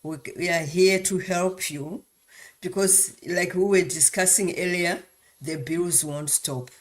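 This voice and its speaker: A woman's voice: lowish voice, fairly even intonation, slow pace. A woman introducing herself as a guest on a livestream, upbeat and earnest. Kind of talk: casual livestream chat.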